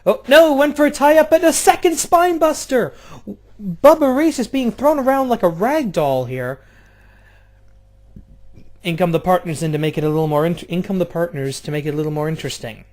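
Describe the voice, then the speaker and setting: A man doing casual play-by-play of a video game wrestling match, jumping between loud outbursts and low, offhand, swearing asides. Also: animated, normal speed. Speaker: play-by-play commentator.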